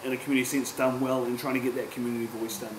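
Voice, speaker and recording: A man in his forties with a New Zealand accent speaks earnestly at a public panel, often restarting his sentences. His voice sits at a middle pitch and carries the echo of a lecture room. A steady background hum runs underneath. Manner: earnest, halting with many restarts. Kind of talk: panel discussion. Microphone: table microphone, distant.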